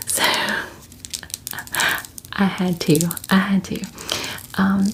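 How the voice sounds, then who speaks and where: Asmr lady whispering casually, lots of fillers, kinda gravelly, light background hiss. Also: mid-range voice, a little mellow, poor audio. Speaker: ASMR creator.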